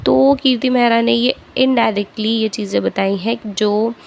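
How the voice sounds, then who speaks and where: A woman vlogger giving casual gossip commentary, pausing hesitantly mid-sentence as she explains. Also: on the high side, level tone, gravelly.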